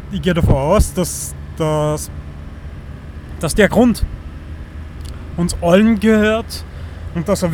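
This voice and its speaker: An Austrian man in his thirties with a fairly high voice speaks in a loose, earnest monologue. He often stumbles and restarts as he hunts for the right words. Faint street and car noise sits behind him outdoors. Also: a little mellow. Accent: Austrian German. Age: 30s. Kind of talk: casual rambling monologue.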